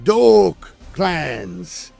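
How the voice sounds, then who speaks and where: A man's voice: high-pitched, very animated, extremely slow, gravelly, mellow, faint music behind. A man reading scripted lore narration in a theatrical, emphatic storytelling manner.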